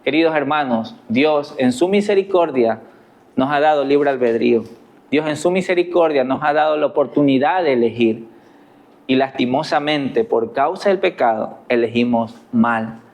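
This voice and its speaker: A man's voice: mid-range voice, moderate pace, darkish tone. A man with an Ecuadorian accent preaching a sermon as pastor, earnest and asking his listeners rhetorical questions. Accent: Ecuadorian Spanish.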